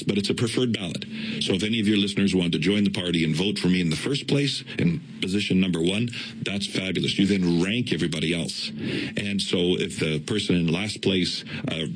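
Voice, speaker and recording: A middle-aged Canadian man, a political candidate, giving deliberate, explanatory answers in a radio interview. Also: husky, low-quality recording. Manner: deliberate, explanatory, campaign-pitch delivery.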